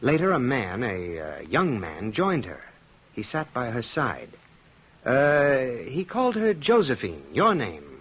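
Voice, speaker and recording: An actor playing a suspicious, coldly polite and sardonic aristocratic husband in an old-time radio drama, speaking with deliberate, pointed courtesy. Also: male voice, mid-range voice, huge pitch swings, a bit bright, husky, heavily acted. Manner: silky, deliberate politeness with pointed pauses and repetitions. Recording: dull lo-fi vintage radio recording.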